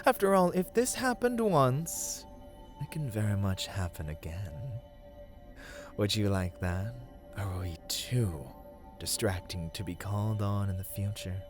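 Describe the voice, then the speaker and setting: A flirty, commanding demon performed by an actor in an ASMR roleplay. The delivery is unhurried and purring, gliding widely in pitch. The sound is dry and intimate, with music underneath. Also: very animated. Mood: smug, flirty. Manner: slow, purring, teasing delivery. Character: suave, teasing, dominant flirty demon.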